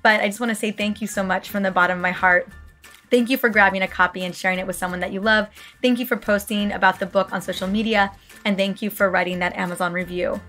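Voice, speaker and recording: A woman hosting a podcast interview, her voice raspy and hoarse from a book tour, talking in an effusive, gushing way. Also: medium pitch, fairly even intonation, fast, a bit bright, soft background music. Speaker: podcast host.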